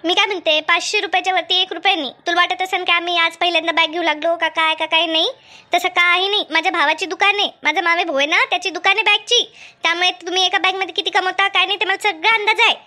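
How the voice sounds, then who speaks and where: A woman's voice: extremely high pitch, steady tone, quick pace, sharp tone. A woman voicing a hard-bargaining village mother in a comedy cartoon, argumentative, firm and chatty. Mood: firm haggling. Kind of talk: Marathi comedy cartoon dub.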